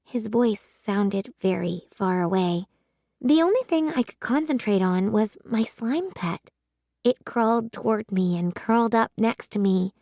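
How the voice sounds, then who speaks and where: A woman narrating a children's story aloud in a deliberate, expressive way. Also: mid-range voice, no echo, clean studio sound.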